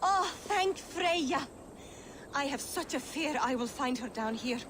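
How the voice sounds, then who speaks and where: A woman's voice: high voice, animated, slow pace, fairly bright tone, slight background noise, heavily acted. A woman playing an anxious, earthy Viking-age mother in a video game cutscene, fretful and full of feeling. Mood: distraught. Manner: fretful, emotive.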